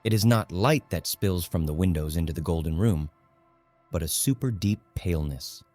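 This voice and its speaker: A man narrating a literary audiobook in a grave, measured, dramatic manner. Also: deep voice, darkish tone. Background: faint music.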